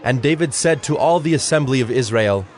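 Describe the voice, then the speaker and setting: A man narrating dramatized scripture in a solemn, measured, formal way. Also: medium pitch, expressive, darkish tone. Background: music.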